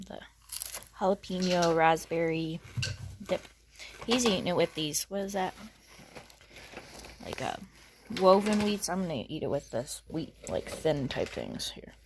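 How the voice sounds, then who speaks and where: A woman vlogging her day in a casual, rambling way, full of ums, her voice hoarse. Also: fairly low pitch, animated, measured pace, long pauses, slight background noise. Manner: chatty, rambling with many ums. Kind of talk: casual daily vlog to camera. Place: outdoors on a rural road, wind.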